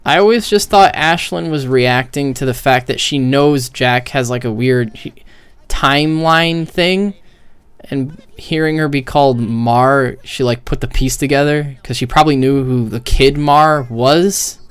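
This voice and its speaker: A man with a mid-pitched voice talks as a streamer reacting to game cutscenes. He is blunt and opinionated, swears freely, and speaks casually and off the cuff. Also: unhurried, clean tone. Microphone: close mic with gain set very high.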